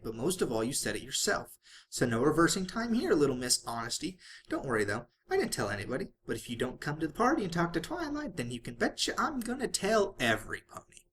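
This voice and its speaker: A storybook reader voicing a hyperactive, bubbly young female cartoon pony, excitable, playful and coaxing. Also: mid-range voice, fairly quick, a little hiss or hum. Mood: playful, triumphant.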